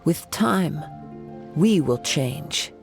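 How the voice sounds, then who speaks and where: Serene young swordsman for a game, gently philosophical and formal, crackly fry in the voice, music underneath. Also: a little high, lively intonation, full character voice. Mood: calm, reflective. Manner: measured, poetic, formal. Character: calm, courteous young male wandering swordsman, philosophical. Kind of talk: video game voice acting. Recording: music behind the voice.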